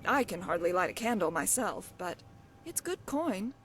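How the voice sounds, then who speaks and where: A woman's voice: lowish voice, sing-song, normal speed, faint music behind. A woman playing a glib, evasive thief companion in a fantasy video game, talking in a wry, coy way. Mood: wry storytelling. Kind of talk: scripted video game dialogue.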